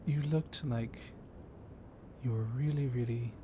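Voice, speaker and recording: A man playing a gently dominant, comforting vampire librarian in an intimate ASMR roleplay, speaking softly and haltingly, often slipping into a whisper. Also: medium pitch, steady tone, close to an everyday voice. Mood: soothing, reassuring. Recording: clear storm noise behind the voice. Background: thunderstorm ambience.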